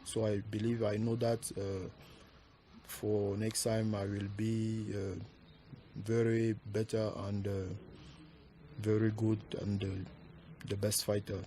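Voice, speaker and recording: A man's voice: fairly low pitch, little pitch movement, takes it slowly, dark tone, a little hiss or hum. A man from Cameroon, a fighter, answering interview questions and searching for words. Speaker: MMA fighter guest. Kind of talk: interview answers in halting English.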